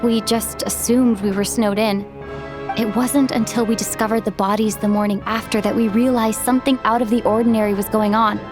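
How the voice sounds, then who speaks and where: A woman playing an earnest young female sleuth in a game dub, reasoning deliberately and with determination, step by step. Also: medium pitch, fairly even intonation, over music, close to an everyday voice. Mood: determined, reasoning. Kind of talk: dramatic game dub acting.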